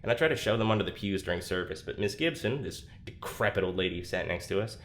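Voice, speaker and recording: Troubled young man, low halting voice. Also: lively intonation. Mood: self-doubting, despondent. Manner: halting, self-deprecating, with dry sarcasm. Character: troubled, sardonic, anxious young man confiding alone. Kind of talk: confessional audio drama monologue.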